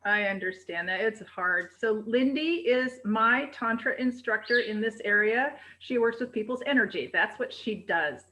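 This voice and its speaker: Woman coach, loud projecting voice, bright tone, high energy; video call. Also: moderate pace, few pauses, poor audio. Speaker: presentation coach.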